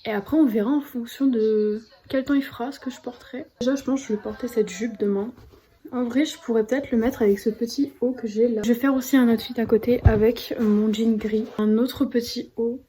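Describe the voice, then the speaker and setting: Chatty teenage girl, mellow voice. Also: a little high, fairly even intonation, brisk. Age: teens. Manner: chatty, bubbly, lots of fillers like euh and bah. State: stuffy nose, losing her voice. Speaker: vlogger. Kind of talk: casual vlog chat.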